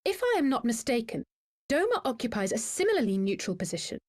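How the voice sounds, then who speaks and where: Casting: courteous fantasy-game envoy; highish, very expressive voice, articulate and formal. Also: female voice, fast, no echo, studio quality. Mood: poised, persuasive. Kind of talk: video game cutscene acting.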